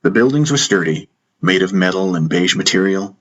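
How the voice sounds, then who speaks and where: A man narrating a first-person fantasy audiobook in deliberate, dramatic short phrases. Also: low voice, lively intonation. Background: music.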